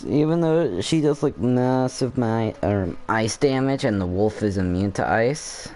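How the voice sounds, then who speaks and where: A man doing casual, halting, informal commentary over a video game he is playing. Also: medium pitch, lively intonation, warm tone. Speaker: gaming video creator.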